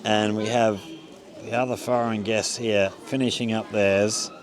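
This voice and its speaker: A man's voice: fairly low pitch, flat delivery, a little slow. An Australian man casually narrating a walk-around vlog at an outdoor festival, with easygoing tour-guide commentary. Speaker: vlogger. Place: outdoors at a busy public festival. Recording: loud crowd noise outdoors. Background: crowd chatter.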